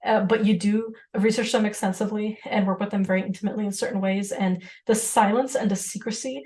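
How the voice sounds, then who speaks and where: A woman co-hosts a casual podcast discussion. She talks quickly and at length, at a middling pitch, and laughs often as she goes. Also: fairly even intonation, darkish tone. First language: Serbian. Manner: rapid, talkative, laughs often. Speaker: podcast co-host.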